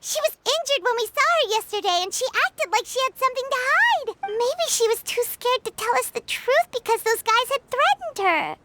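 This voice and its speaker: A woman voicing a small, feisty, childlike fairy companion in an anime game, excitable and chirpy. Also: very high-pitched, normal speed, hardly any pauses, noisy background. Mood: earnest. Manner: speaks of self in third person, excitable.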